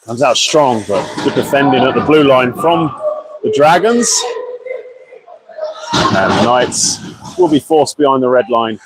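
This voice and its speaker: British man, croaky with a cold, live hockey commentator, loud noisy background. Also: medium pitch, unhurried, pauses often. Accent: British English. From Britain. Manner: chatty and apologetic, excitable on goals. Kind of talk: live amateur sports commentary. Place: small media box in an ice arena.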